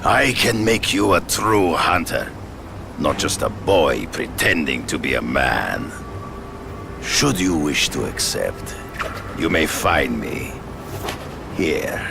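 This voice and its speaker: An actor playing a proud, menacing Russian hunter with a Russian accent and grand, slow speech, in a game cutscene. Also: male voice, extremely low pitch, very animated, gravelly, exaggerated voice. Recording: game cutscene with music behind.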